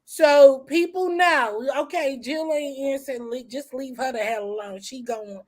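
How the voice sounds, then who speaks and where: A woman with a slightly hoarse voice, chatting casually and calling everyone girl. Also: high voice, unhurried. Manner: drawling, calls everyone girl, lively laughs. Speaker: guest in a live chat. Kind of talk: casual gossipy chat.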